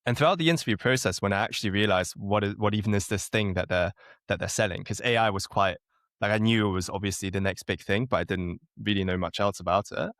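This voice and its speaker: A man in his late twenties from Germany, a podcast guest talking in a relaxed, self-deprecating way with a chuckle now and then. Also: lowish voice, quick pace, no echo, clean studio sound. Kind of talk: casual interview chat.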